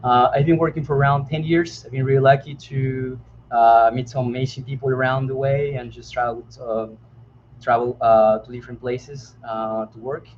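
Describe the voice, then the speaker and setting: A man's voice: medium pitch, flat delivery. A man, a panel guest introducing himself politely with many hesitations over a computer mic on a video call. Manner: halting, many fillers, polite. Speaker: guest, CG artist. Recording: dull, muffled line with faint noise.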